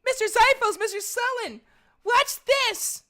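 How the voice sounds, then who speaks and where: A woman voicing an excitable, innocent little winged girl in a tabletop game. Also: extremely high pitch, a little slow, voice pushed up, exaggerated voice. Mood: excited, proud.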